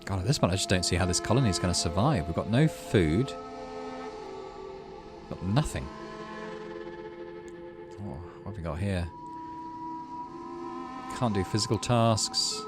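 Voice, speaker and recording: A man's voice: sits low, expressive, a little slow, pauses often, music in the background. A middle-aged British man hosting a gaming video, reading story text aloud and adding casual commentary.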